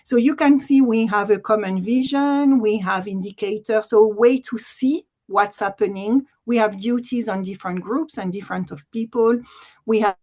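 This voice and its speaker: A woman's voice: medium pitch, moderate pace, darkish tone. French-accented woman presenting on a webinar. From France. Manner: enthusiastic, many fillers. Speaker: deputy commissioner presenting. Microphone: video call.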